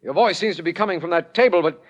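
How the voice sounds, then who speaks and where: An actor playing a bluff, puzzled official, the detective's sidekick, in a 1940s radio drama, blunt and questioning. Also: male voice, high voice, quick pace, close to an everyday voice. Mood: baffled. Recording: dull vintage radio recording.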